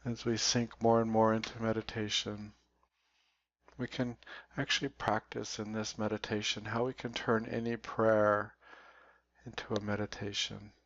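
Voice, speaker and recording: Low, gravelly man guiding meditation. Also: fairly bright tone. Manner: slow, deliberate delivery with long pauses. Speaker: meditation guide. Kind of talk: guided meditation. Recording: dull, roomy recording.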